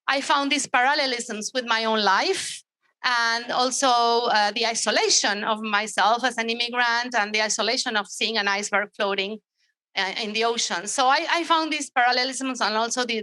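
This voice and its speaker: A woman's voice: a little high, pushed and bright, dry recording. A woman, an artist reflecting on her work on a gallery walkthrough, with fillers and restarts. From Argentina. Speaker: exhibiting artist.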